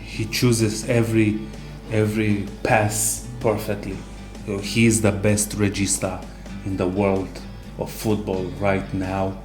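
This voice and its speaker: A man co-hosting a casual sports discussion, speaking haltingly with non-native English phrasing. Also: lowish voice, monotone. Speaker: co-host. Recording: roomy echo, music underneath.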